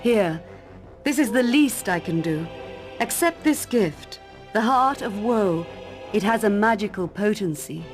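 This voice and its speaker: An actress playing a gracious, grateful noble countess in a fantasy game cutscene, speaking formally and gently. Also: female voice, mid-range voice, expressive, unhurried, faint music behind, lightly acted.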